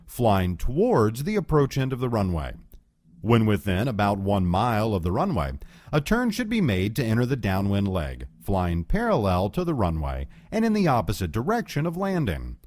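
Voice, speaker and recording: A man reading scripted educational narration as an instructor, explaining in a clear, measured way. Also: mid-range voice, darkish tone, dry recording, clean studio sound.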